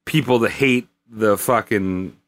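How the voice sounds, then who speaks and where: A man's voice: fairly low pitch, takes it slowly, fairly bright tone. A man co-hosting a podcast, chatting casually with jokes, swearing and lots of fillers. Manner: joking, swearing, filler-heavy. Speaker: podcast co-host. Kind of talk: casual chat.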